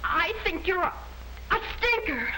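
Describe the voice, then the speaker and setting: An actress playing a gushing, hot-tempered American teenage sister in a 1950s sitcom-style film. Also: female voice, extremely high pitch, animated, a little slow, bright, forceful tone, exaggerated voice. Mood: indignant. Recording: noisy vintage 1950s film soundtrack.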